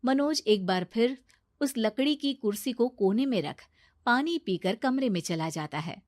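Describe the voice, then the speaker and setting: A woman's voice: fairly high pitch, animated, a little slow, darkish tone, no room sound, studio quality. A woman narrating a scripted children's story.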